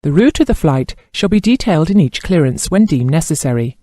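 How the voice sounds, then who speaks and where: A man reading scripted instructional narration in a formal, measured manner, like a course instructor. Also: a little high, sing-song, warm tone, dry recording, studio quality.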